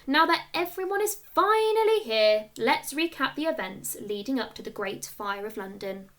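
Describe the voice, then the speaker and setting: A woman's voice: sits high, expressive, normal speed, a bit bright, pitched above the performer's own voice. A woman voicing a weary, disappointed female primary teacher in a children's story, speaking with emphatic, exasperated reproach. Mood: brisk, commanding.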